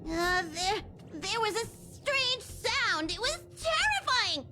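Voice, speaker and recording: A woman's voice: very high voice, big pitch swings, slow, full character voice. A woman voicing a tiny, earnest, timid mascot creature in a video game, with a childlike manner. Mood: frightened. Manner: speaks of self in third person, childlike. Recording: music clearly behind the voice. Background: game music.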